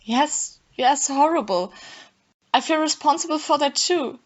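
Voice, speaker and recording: A distraught, devoted young woman in a melodramatic audio play, acted by a woman. Her voice is high and emotional. The recording is dull and lo-fi. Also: unhurried. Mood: grieving, grateful.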